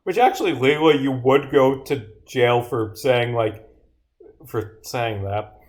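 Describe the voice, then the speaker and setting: A man's voice: medium pitch, a little slow. Man reading his game script on stream. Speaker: streamer and visual novel developer. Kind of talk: livestream of reading own game script aloud with commentary.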